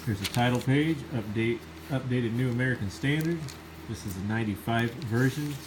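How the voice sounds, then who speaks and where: A man's voice: mid-range voice, moderate pace, noisy background. A man with a slight cold, sniffling a little, giving an enthusiastic, rambling casual review of a Bible.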